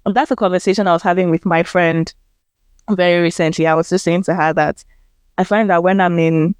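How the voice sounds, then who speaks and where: A young woman with a Nigerian accent, hosting a casual podcast chat in an earnest, animated way and acting out her own thoughts. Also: fairly low pitch, a little fast, smooth, no echo. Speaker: podcast host.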